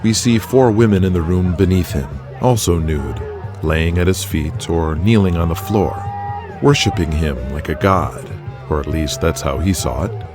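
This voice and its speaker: A man with a low, deep voice reads scripted narration for an audio drama podcast. He keeps a steady, measured storytelling pace while clear music plays beneath him. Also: crowd noise. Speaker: narrator and host.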